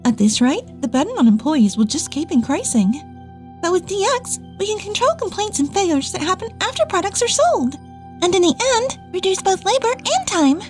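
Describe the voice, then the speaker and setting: Woman acting an earnest, very high-pitched young businesswoman. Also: very animated, normal speed, soft background music. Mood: insistent. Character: earnest, determined young businesswoman pleading for reform. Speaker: dub voice actor. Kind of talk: manga dub acting.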